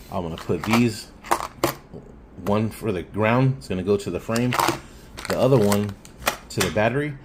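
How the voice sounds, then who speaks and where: A man with a fairly low voice gives a casual hands-on tutorial. He is plainspoken and informal, working out his explanation as he goes. There is some background noise on the recording. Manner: informal, plainspoken, thinking aloud. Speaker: hobbyist installer giving a how-to.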